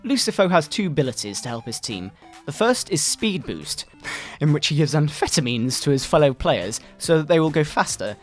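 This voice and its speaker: A pompous fundamentalist doctor, acted by a man as satire, sermonizes with theatrical indignation. The voice sits slightly high and swings widely in pitch, with retro video game music playing softly behind it. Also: very animated, normal speed. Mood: righteous scorn. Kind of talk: dramatic satirical scripted reading.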